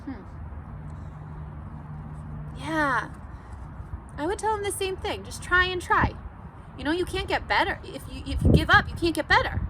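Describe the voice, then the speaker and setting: A woman teacher doing an interactive read-aloud for children, animated and encouraging, asking questions and pausing for answers. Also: extremely high pitch, background noise.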